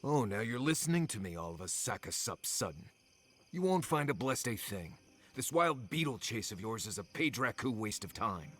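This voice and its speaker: A man's voice: lowish voice, very animated, normal speed, fairly bright tone. A man acting a stubborn, grumpy guide in a video game, blunt and sarcastic. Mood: exasperated. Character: grumpy, sardonic, stubborn male fantasy guide.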